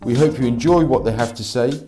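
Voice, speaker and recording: A male podcast host with a mellow, warm tone leads the interview and chats in a friendly, encouraging way. Faint music plays behind him. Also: medium pitch, fast.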